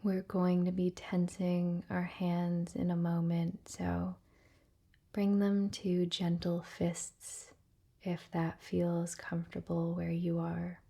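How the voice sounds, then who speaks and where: A woman leading a guided relaxation meditation in a soft, breathy, often near-whispered voice, gentle and soothing with long pauses. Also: on the low side, completely flat delivery.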